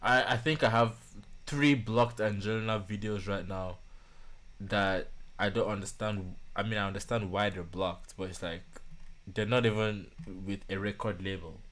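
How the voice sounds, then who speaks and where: Male reaction-channel host in his 20s, fairly low voice, informal and thoughtful commentary. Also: steady tone, moderate pace, fairly bright tone. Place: small home studio room.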